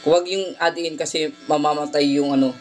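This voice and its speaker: A man's voice: fairly high pitch, steady tone, a little fast. A man talking casually and conversationally as a guitar effects vlogger, often ending phrases with a tag question. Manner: conversational, frequent "no?" tags. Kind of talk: casual vlog demo, Tagalog mixed with English. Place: indoor home studio by a window.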